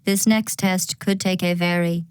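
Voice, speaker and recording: A woman playing a deadpan, menacing female artificial intelligence in a video game, measured and falsely courteous, with synthetic robot processing. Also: on the low side, clean tone, no echo. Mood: coldly polite. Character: polite, coldly menacing female artificial intelligence running deadly tests. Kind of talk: scripted video game announcements.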